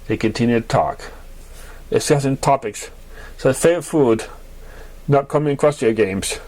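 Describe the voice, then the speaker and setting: A man narrating scripted fiction as an amateur reader, halting and sometimes stumbling over his words. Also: mid-range voice, a little mellow.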